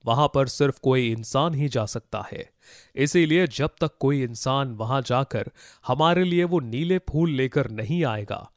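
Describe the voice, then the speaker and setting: A man voicing a gentle, worried magical blue eggplant in a children's story, speaking earnestly. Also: mid-range voice, a little slow, dry recording, studio quality, natural voice. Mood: concerned. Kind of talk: Hindi children's moral story voice acting.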